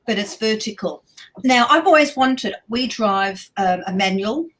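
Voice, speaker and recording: A woman co-hosting a relaxed live stream from down under. She talks in a chatty way, jumps in often and agrees readily, and her pitch is average. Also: low-quality recording. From Australia or New Zealand. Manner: chatty, interjects, quick to agree. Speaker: co-host. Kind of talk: casual live-stream chat.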